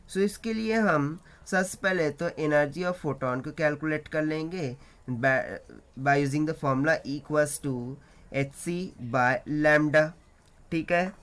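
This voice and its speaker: A man's voice: a little high, a bit bright, clean tone. A man with an Indian accent working through a physics problem step by step as a tutor, explaining as he mixes Hindi and English.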